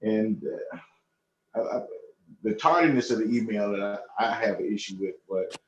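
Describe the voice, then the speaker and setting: A man speaking as a board member in a formal meeting, halting and repeating himself in a conciliatory way. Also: on the low side. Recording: muffled, echoey online meeting audio.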